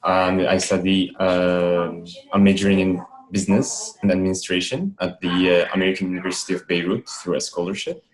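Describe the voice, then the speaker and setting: A twenty-year-old man from Algeria on a video call panel, talking in quick bursts with frequent "uh" fillers. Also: low-pitched, rough recording. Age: 20s. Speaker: student panelist.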